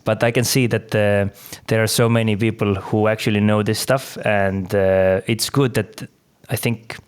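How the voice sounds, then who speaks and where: Smooth low-voiced podcast host. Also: male voice, normal speed. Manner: relaxed, laughs easily, many fillers. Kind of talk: casual interview talk.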